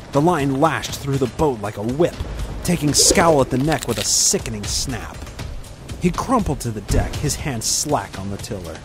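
Man narrating a dramatic adventure over music. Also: mid-range voice. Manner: intense storytelling. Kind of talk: dramatic scripted audiobook narration.

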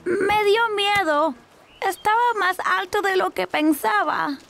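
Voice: very high-pitched, takes it slowly, creaky, fairly bright tone, heavily acted. An actor voicing an eager, childlike four-year-old boy in a children's cartoon dub. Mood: sheepish. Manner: childlike, earnest.

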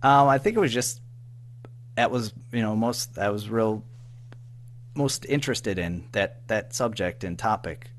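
A man being interviewed in casual chat, speaking haltingly, repeating words and trailing off. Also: fairly low pitch, expressive. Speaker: audio drama writer-producer, interview guest. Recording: faint background noise and hum.

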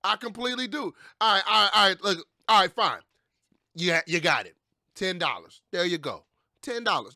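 A young man hosts a reaction video in casual slang. His voice is fairly high and bright, and he often repeats phrases into a close, dry mic. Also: dry recording. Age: young adult. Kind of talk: casual, animated chat. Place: small indoor streaming room. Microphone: close boom-arm microphone.

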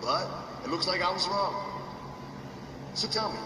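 Cocky trash-talking wrestler. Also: male voice, sits high, unhurried. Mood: mocking. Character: boastful macho male wrestler, defensive and cocky. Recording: echoey, distant, noisy game capture.

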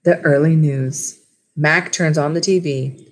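A woman's voice: deep voice, steady tone, unhurried, darkish tone. A woman in her thirties, a school library teacher, reading a storybook aloud and talking informally to children. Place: small indoor room at home with wood-panelled walls. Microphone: webcam or laptop mic at arm's length.

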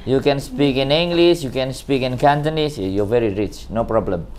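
Very low-voiced Indonesian woman interviewer. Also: normal speed, a little mellow, a little hiss or hum. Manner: laughs often, repeats "wow" and "okay". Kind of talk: casual chat in simple English.

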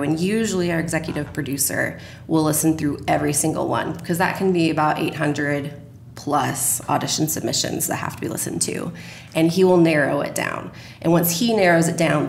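A woman's voice: deep voice, vocal fry. A woman in her thirties explaining her production work as a guest in a podcast conversation. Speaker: radio drama producer, interview guest. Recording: roomy, echoey, faint background noise.

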